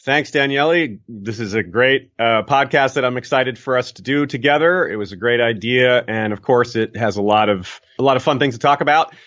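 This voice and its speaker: A man's voice: medium pitch, normal speed, hardly any pauses, fairly bright tone, highs cut off. A man who hosts a podcast, talking in a casual, upbeat and friendly way. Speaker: podcast host.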